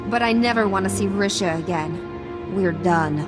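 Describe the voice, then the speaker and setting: A woman playing a hurt, feisty young alien in a video game, with a sharp, indignant manner. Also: mid-range voice, moderate pace, over music, natural voice. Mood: wounded.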